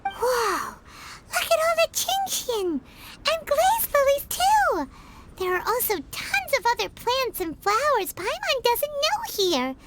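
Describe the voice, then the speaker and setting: Childlike fairy sidekick, squeaky, slow and wildly animated, anime game with music behind. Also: female voice, a little hiss or hum, exaggerated voice. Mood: amazed. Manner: exclamatory, refers to herself in third person. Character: tiny excitable childlike female floating fairy companion. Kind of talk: anime video game voice acting.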